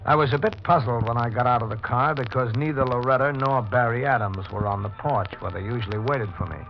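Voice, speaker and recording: A man's voice: medium pitch, creaky, natural voice. Man as stern 1940s radio homicide detective. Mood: grave, precise. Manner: deliberate, precise, dramatic. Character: stern, methodical male police homicide lieutenant giving testimony. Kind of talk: 1940s radio drama acting. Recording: muffled 1940s radio recording with music.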